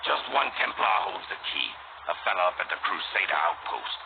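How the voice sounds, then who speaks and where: A man playing a gruff, boastful, bullying guard sergeant with coarse, taunting speech in a video game. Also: sits low, lively intonation, fairly quick. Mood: gruff, smug. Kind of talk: video game character acting. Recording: tinny band-limited game audio, noisy.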